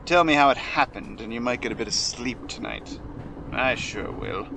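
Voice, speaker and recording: An actor playing a dry, dogged police detective in an audio play, talking in a gruff, casual, sardonic way. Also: male voice, medium pitch, expressive, moderate pace, bright, forceful tone. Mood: sardonic, probing. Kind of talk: amateur audio play acting. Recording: faintly noisy home-device recording.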